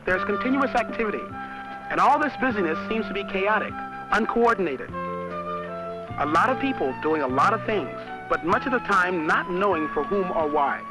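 A cheerful young American college student guiding viewers through an old educational film. His voice sits high and swings freely. He is folksy and chatty, with music playing behind him. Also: rough recording. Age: young adult. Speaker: on-camera narrator. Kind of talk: scripted educational film narration.

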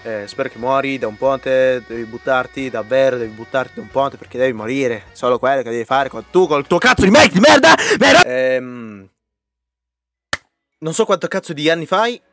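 Man, slightly gravelly, mid-pitched, rambling, crude, joking. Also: expressive, normal speed, a bit bright, soft background music. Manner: foul-mouthed, rambling. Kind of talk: crude joking birthday message.

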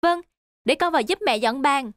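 A woman's voice: high voice, lively intonation, moderate pace, studio quality. A woman voicing an earnest, determined teenage boy in a cartoon, polite and pleading. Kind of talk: cartoon dubbing. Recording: echoey voice under loud music.

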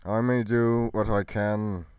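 Righteous male god for game roleplay, man acting, grave, declamatory, smooth low voice. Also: steady tone, a little mellow, no echo. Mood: concerned. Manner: solemn, declamatory, drawn-out. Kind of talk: amateur scripted roleplay.

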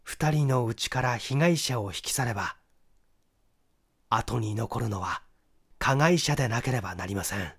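A man's voice: mid-range voice, normal speed, pauses often, a bit bright, slightly rough. Methodical young detective, read by a man. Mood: deliberate reasoning. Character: young male amateur detective, methodical and assured.

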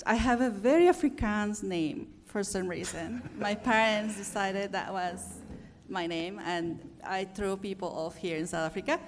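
A woman's voice: medium pitch, a little slow, hardly any pauses, background noise. A middle-aged woman with a Mexican accent, a native Spanish speaker, introducing herself casually on a panel into a handheld microphone. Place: on stage in a church hall.

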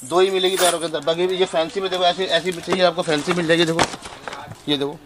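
A man in his thirties, a wholesale shop owner, giving a sales pitch and rattling off prices with rapid, repetitive counting in a small shop. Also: flat delivery. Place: small indoor shop crowded with shelves. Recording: noisy shop recording.

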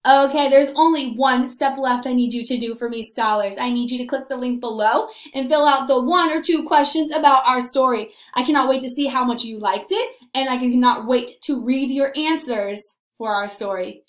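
A young woman, a teacher, explaining a story to small children in simple, expressive talk. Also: high-pitched, moderate pace, hardly any pauses, roomy sound. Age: young adult. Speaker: elementary school teacher. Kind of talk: read-aloud discussion addressed to young children. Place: small plain room indoors.

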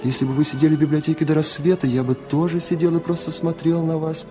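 A man's voice: a little high, flat delivery, fast, over music, voice pushed up, lightly acted. A man playing a shy young student in love, speaking with tender, adoring earnestness in a literary radio reading. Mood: lovestruck, earnest.

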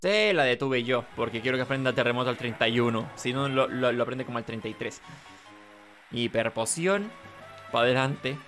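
A Chilean man hosts a casual gaming playthrough in a middling-pitched voice. He talks to himself hesitantly and repeats words, with game music playing behind him. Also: moderate pace, fairly bright tone. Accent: Chilean Spanish. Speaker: gaming video host. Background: video game music behind the voice.

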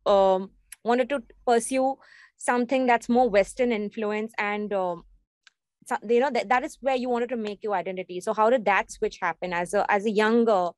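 A woman's voice: mid-range voice, dry recording. A young woman, interviewing a guest over a video call from a small room at home, hesitant with many fillers and restarts. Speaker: radio interviewer.

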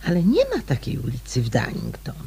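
A woman's voice: very deep voice, sing-song, fairly quick, creaky, mellow, breathy edge, full character voice. An actress playing a polite, inquisitive woman with a questioning manner in a radio play. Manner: polite, questioning, hesitant openings. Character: polite, inquisitive woman quietly probing for facts.

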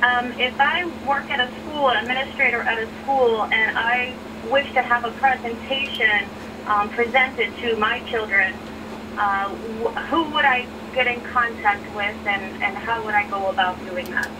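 A woman's voice: fairly high pitch, steady tone, normal speed. A woman calling in to a radio show, asking her question politely and rather formally. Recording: noisy, distant-sounding call-in line.

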